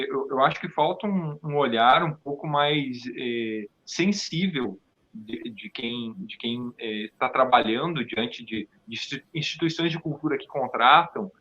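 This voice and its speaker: A man giving a thoughtful, halting answer in a conversational interview. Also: mid-range voice, sharp tone, lo-fi sound. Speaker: theatre performer, interview guest.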